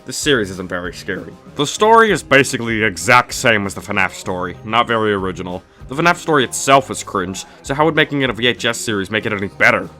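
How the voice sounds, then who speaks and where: A man's voice: on the low side, sing-song, fast, few pauses, sharp tone, soft background music. A man narrating a scripted comedic review in a snarky, dismissive, mock-exasperated way. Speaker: video reviewer, host of a review series.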